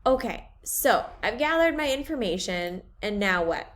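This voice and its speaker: A woman art teacher talking students through a website and a poster template, relaxed, with many ums as she thinks aloud. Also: medium pitch, measured pace. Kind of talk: screen-share class walkthrough.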